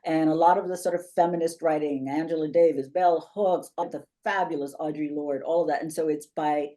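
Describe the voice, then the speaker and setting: Low-voiced woman in her fifties. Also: normal speed. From Bahamas. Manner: articulate, animated, gestures while speaking. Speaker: guest, professor and institute founder. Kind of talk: conversational interview answers. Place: home office, indoors. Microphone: webcam on a video call.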